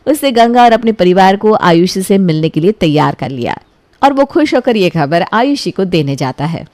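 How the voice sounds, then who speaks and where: A woman's voice: on the low side, expressive, normal speed, darkish tone, no room sound. A woman narrating a scripted story as a storyteller.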